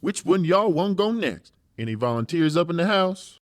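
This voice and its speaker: A man's voice: fairly high pitch, sing-song, normal speed, noisy background. A man playing a large, theatrical, sadistic professional torturer in a dramatized audiobook. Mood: taunting glee. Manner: slangy, showy and taunting. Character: large, gleefully sadistic professional torturer who plays the comedian. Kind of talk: dramatized audiobook character acting.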